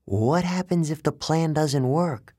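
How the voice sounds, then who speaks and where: A man's voice: a little high, slow pace, mellow, husky, no room sound, clean studio sound, pitched above the performer's own voice. A man voicing an earnest, brave but anxious young girl in a storybook narration, speaking directly. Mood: worried.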